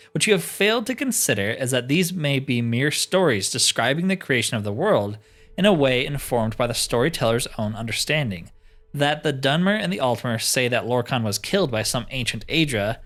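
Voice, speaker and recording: A man's voice: medium pitch, fairly bright tone, natural voice. A man voicing a pedantic, dismissive scholar in a fantasy game roleplay, speaking formally and with condescension. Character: dismissive skeptical male scholar, haughty and pedantic.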